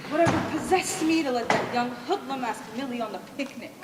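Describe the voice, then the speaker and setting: A young woman acting a fussing, anxious small-town mother in a high school stage play. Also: a little fast, natural voice. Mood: worried. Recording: echoey hall, loud background noise.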